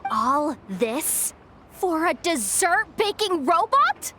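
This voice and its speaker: A practical, skeptical young female guide in a game. She speaks slowly in a high voice with a measured manner, over faint ambient noise. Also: sing-song, sharp tone, exaggerated voice. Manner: measured, matter-of-fact, skeptical. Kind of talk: video game voice acting.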